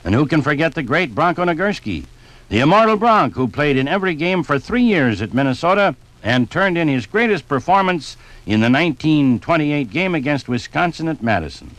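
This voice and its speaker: A man narrates sports history for 1950s radio. His voice sits a little high and rises and falls with plenty of animation, in a scripted broadcast manner. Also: big pitch swings, brisk, fairly bright tone, no room sound, low-quality recording.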